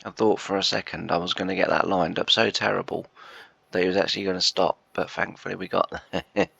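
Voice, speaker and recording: A man with a croaky, bunged-up voice from a chest cold, rambling through casual gameplay commentary with lots of hesitations. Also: deep voice, fairly even intonation, fairly quick, sharp tone, no room sound, highs cut off. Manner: rambling, hesitant, full of "uh" and restarts. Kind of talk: casual let's-play commentary.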